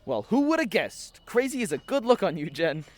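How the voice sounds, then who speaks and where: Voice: medium pitch, very animated, brisk, lightly acted. A performer playing a laid-back young man, a dramatic, flippant sidekick in an audio fiction podcast, slangy and sarcastic.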